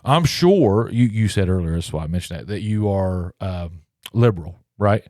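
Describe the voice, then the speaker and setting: A man's voice: low-pitched, expressive, normal speed, warm tone, no echo, clean studio sound. A man in his forties hosting a casual podcast, talking in a rambling way that circles back and rephrases. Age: 40s. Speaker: podcast host.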